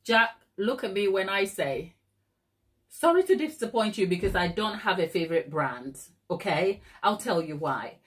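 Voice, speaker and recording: Casting: middle-aged woman from Ghana, live-stream baking host, chatty, warm-hearted laughter, talks straight to chat. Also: mid-range voice, animated, moderate pace. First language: Twi. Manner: chatty, laughs often, addresses viewers directly.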